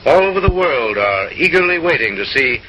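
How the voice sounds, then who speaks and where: A man's voice: on the high side, big pitch swings, fairly quick, sharp tone, slight background noise, poor audio. A man narrating in a mid-century comic newsreel style, with an arch, mock-refined, theatrical delivery, on a vintage film soundtrack.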